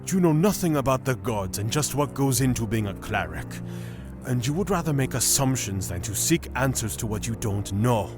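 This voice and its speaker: A man playing a gruff, condescending dragon cleric with a dry manner in a fantasy audio drama. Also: medium pitch, expressive, moderate pace, over music, lightly acted. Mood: scornful. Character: gruff, scornful male dragon cleric, mercenary.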